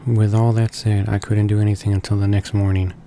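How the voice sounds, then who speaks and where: Male let's play narrator, deep-ish and even in intonation, halting read-aloud delivery of game text. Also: a little hiss or hum. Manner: stumbles and rereads words while reading. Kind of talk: reading a visual novel aloud. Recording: voice-over with game music behind.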